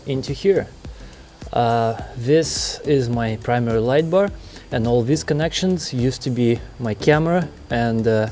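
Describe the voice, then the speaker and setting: A man's voice: medium pitch, mellow. A man hosting an informal hands-on how-to video, explaining things in a loose, rambling, unscripted way. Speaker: host of a truck build how-to video. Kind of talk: casual unscripted hands-on walkthrough.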